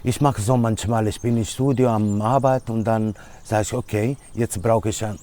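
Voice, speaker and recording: A middle-aged man from Italy with an Italian accent, a DJ answering interview questions in halting German, often searching for words. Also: lowish voice, steady tone, mellow. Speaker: DJ and music producer.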